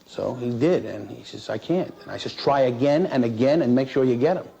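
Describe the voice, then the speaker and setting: A man who works as an air traffic controller recounts his experience in an interview in a halting, plain-spoken, matter-of-fact way. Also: mid-range voice, vocal fry, dark tone, husky, lo-fi sound. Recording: noisy 1960s interview recording.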